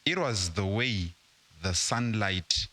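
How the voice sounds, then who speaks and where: Young adult man from Zimbabwe, interview guest; low pitch, bright tone, measured delivery. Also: animated, no room sound. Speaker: guest entrepreneur. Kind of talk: casual interview.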